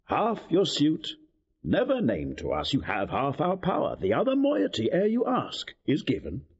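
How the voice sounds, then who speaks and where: Raja berwibawa, nada naik-turun tajam. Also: male voice, a little high, huge pitch swings, moderate pace, breathy edge, muffled recording, heavily acted. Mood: gracious. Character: commanding king, proud, easily roused to indignation. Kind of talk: classical stage verse in audio drama.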